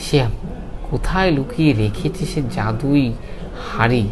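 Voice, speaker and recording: A man's voice: medium pitch, animated, measured pace, noisy background, lightly acted. A man voicing a greedy, scheming village shopkeeper in a cartoon. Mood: sly, conspiratorial. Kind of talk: cartoon character voice. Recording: music behind the voice.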